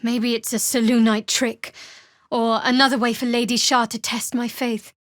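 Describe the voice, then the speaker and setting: A woman playing a guarded, wry young cleric of a dark goddess in a video game, with a dry, sardonic and measured delivery. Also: on the high side, little pitch movement, no room sound, close to an everyday voice. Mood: guarded, earnest. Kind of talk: video game character dialogue.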